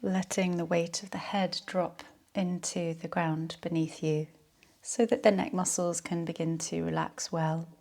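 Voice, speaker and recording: A woman leading a guided yoga nidra relaxation in a soothing, unhurried, soft-spoken way. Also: lowish voice, level tone, gravelly.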